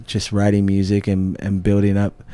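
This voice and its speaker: Laid-back low-voiced man from Hawaii. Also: completely flat delivery, normal speed, dark tone, clean tone. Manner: laid-back, many fillers and restarts. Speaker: guest musician. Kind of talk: casual interview talk.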